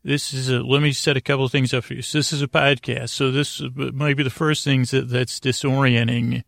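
Male sleep-podcast host: flat intonation, gravelly fry, halting, meandering, low-key delivery. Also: dry recording. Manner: meandering, halting, frequently digressing and losing his thread; low-key, soothing, deliberately unengaging. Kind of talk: rambling sleep-podcast monologue.

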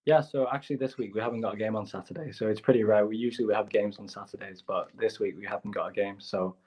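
A young footballer from Hong Kong answering interview questions politely with plain answers and fillers. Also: male voice, fairly low pitch, fairly even intonation, fast talker, a little mellow, slightly rough. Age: young adult. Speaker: youth footballer, guest. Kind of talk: casual interview.

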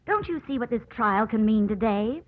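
Cynical, higher-voiced gossiping woman. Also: animated, normal speed. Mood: knowing. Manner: knowing, insinuating. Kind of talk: 1950s radio drama acting. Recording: muffled old 1950s radio broadcast.

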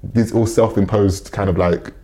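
A man's voice: fairly low pitch, steady tone, moderate pace, noisy background. A man in his late twenties co-hosting a podcast in casual chat, rambling with lots of fillers and quoting people in little acted-out bits. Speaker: podcast co-host.

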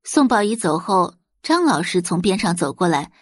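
A woman's voice: on the low side, very animated, moderate pace, no room sound, clean studio sound. A woman narrating a web novel as a dramatized audiobook, telling the story expressively.

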